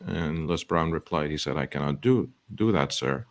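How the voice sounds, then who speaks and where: A man hosting a motivational talk show, speaking earnestly and slowing down to stress quotations. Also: very deep voice, lively intonation, vocal fry. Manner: earnest, quotes sayings, slow emphasis.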